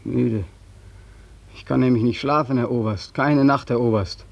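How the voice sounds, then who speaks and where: An actor playing a despairing, bitterly mocking young soldier home from war, insistent and repetitive, in a 1940s German radio play. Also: male voice, medium pitch, steady tone, moderate pace, vocal fry, muffled recording. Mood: weary, pleading. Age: young adult. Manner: impassioned, insistent, repeating phrases.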